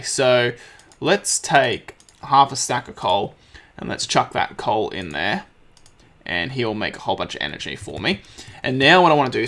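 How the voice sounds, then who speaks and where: A man with a slightly croaky voice, casually commenting on his gameplay and thinking aloud as he crafts. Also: lowish voice, bright, forceful tone. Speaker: let's play host.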